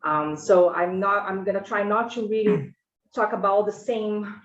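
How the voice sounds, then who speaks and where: A woman's voice: medium pitch, fairly even intonation, a little slow. A woman from Brazil with a Brazilian Portuguese accent, testifying informally and earnestly as a school food service director. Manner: informal, self-correcting, earnest. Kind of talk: committee testimony. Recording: echoey, muffled hearing room audio.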